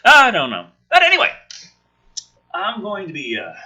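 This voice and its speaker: A man hosts a casual script reading with sarcastic commentary. His voice is very bright and forceful with a raspy edge. He swears freely and swings his pitch widely between narration and rant. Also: wildly swooping pitch, normal speed. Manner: sarcastic, profane. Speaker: host reading a film script aloud with commentary. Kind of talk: casual dramatic script reading with ranting asides.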